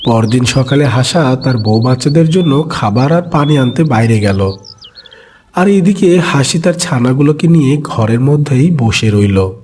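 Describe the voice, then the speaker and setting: A man's voice: mid-range voice, expressive, measured pace, a little mellow, a little hiss or hum. A man narrating a scripted children's animal story as its storyteller.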